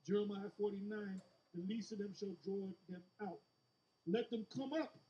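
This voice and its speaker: A man teaching scripture in an informal sermon, halting and repeating words as he explains in blunt street-preacher phrasing. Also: high-pitched, steady tone, dark tone, highs cut off, a little hiss or hum. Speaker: religious teacher leading a scripture discussion. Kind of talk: informal sermon with verse-by-verse commentary.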